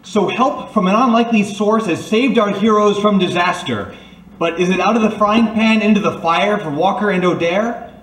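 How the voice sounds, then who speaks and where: A man's voice: sits high, normal speed. A man delivering scripted old-time radio announcing in a dramatic, formal manner. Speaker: announcer and narrator. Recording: roomy, slightly distant.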